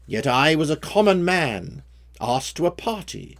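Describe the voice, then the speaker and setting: A man reciting rhyming story poems as their author, with a measured, theatrical storytelling delivery. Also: on the high side, sing-song, fairly bright tone. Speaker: poet reading own poems.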